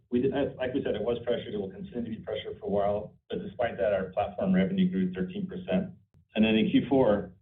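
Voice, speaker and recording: A male company executive giving off-the-cuff answers on an earnings call, often repeating and restarting phrases. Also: lowish voice. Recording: distant mic, muffled phone-like conference line.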